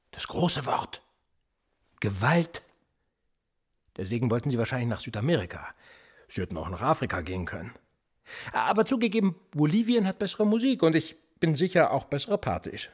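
Sardonic, needling policeman with a husky edge. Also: medium pitch. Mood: sarcastic. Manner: sarcastic, probing, provocative. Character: sardonic, needling male police interrogator, quietly menacing. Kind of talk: German radio play acting.

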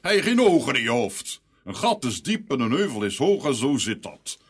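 A man's voice: medium pitch, big pitch swings, normal speed, husky. An actor playing an old grey goblin father in a fairy-tale radio play, scolding in an exasperated manner.

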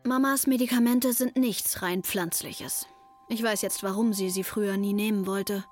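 A woman's voice: mid-range voice, steady tone, moderate pace, a little mellow, faint music behind, natural voice. An actress playing an anxious, wry teenage girl narrating her thoughts pensively in a radio drama. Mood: melancholy.

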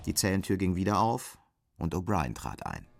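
A man's voice: low voice, big pitch swings, normal speed, creaky, heavy background noise. A man narrating a scripted literary radio drama.

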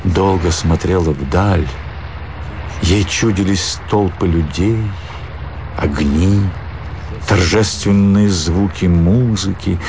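A man's voice: sits low, slow, pauses often, a little mellow, slight background noise. A man narrating a literary story dramatically as part of a radio play. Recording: music bed under the voice.

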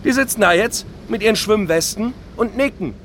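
A man's voice: high voice, moderate pace, a bit bright, slight background noise. An actor playing an aggrieved middle-aged man in a theatrical monologue, whining and stammering in indignant, self-pitying questions. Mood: aggrieved, sarcastic.

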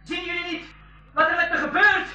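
An actor playing a stranded stranger in trouble, in a Dutch-language school radio play. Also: male voice, extremely high pitch, little pitch movement, fairly quick, sharp tone, lo-fi sound, full character voice. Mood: panicked, desperate. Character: stranded stranger sinking in quicksand, alarmed and desperate. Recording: roomy, echoing radio drama recording.

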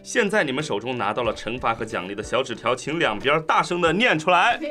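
Male host, bright and animated, casual banter, soft music behind. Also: medium pitch, fast talker. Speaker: show host.

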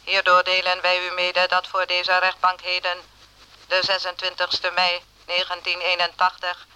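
An official court machine in a radio drama. The voice is high and piercingly bright, utterly monotone, and reads legal notices with rigid, impersonal formality. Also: male voice, clean tone, low-quality recording.

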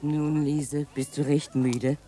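An actress playing a gentle, motherly caretaker in a radio play. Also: low voice, flat delivery, slow. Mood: gently coaxing.

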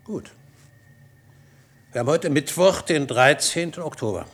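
A man's voice: on the high side, expressive, measured pace, a bit bright, slightly rough, heavily acted. An actor playing a scheming, persuasive male doctor in a science fiction radio play. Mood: resolute, urgent. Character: shrewd, scheming male doctor tempting a colleague.

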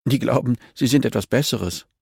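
A man's voice: medium pitch, moderate pace, creaky, husky, no echo, clean studio sound, pitched above the performer's own voice. A man voicing a shy, candid ten-year-old girl with a childlike, simple manner in an audiobook. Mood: candid, slightly sulky. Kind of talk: audiobook character dialogue.

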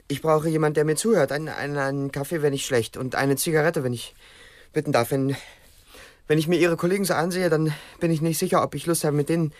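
An actor playing an agitated, over-polite fugitive killer who stammers and hesitates, in a radio drama. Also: male voice, a little high, lightly acted. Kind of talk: German radio drama acting.

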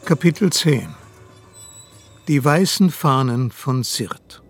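An actor voicing a measured announcer who reads chapter titles in a radio play. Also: male voice, mid-range voice, animated. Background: music.

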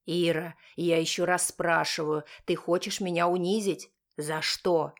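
A woman's voice: lowish voice, steady tone, unhurried. A woman voicing a stern, wounded father, curt and firm, in an audiobook drama. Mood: stern, bitter. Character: stern, wounded, authoritative father of a teenage girl.

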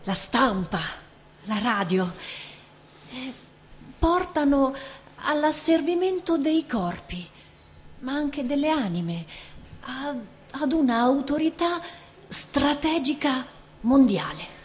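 A woman's voice: high voice, sing-song, takes it slowly, pauses often. A woman giving a stage reading of a text in a dramatic way. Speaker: stage reader. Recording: hum and background noise.

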